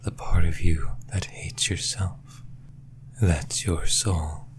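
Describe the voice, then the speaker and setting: A man voicing a possessive, taunting yandere demon in a breathy, menacing whisper, in the style of an ASMR roleplay. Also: deep voice, steady tone. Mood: brooding, eerie. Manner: slow, menacing, teasing.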